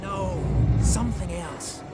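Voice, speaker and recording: Gruff jumpy scavenger survivor in a space game, music really loud. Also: male voice, high voice, takes it slowly. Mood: grim, hushed. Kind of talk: sci-fi video game voice acting.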